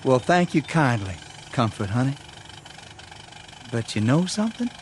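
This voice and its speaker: An actor playing a polite, confident young farm son with a rural Southern American accent in a radio drama. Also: male voice, mid-range voice, big pitch swings, a little slow, slight background noise. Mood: tender, assured.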